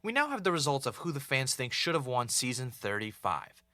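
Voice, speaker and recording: A man acting as a wry, uneasy podcast host in an audio drama, with a casual, halting, conversational delivery. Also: mid-range voice, sing-song, pushed and bright, no room sound, clean studio sound. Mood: chatty, teasing. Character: Ray, wry, uneasy male podcaster investigating his own death.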